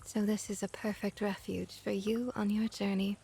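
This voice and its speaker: A woman playing a friendly, comforting vampire innkeeper in a soft-spoken ASMR roleplay, gentle, reassuring and often whispery. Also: mid-range voice, flat delivery, moderate pace, creaky, a little hiss or hum, natural voice. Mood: friendly, curious.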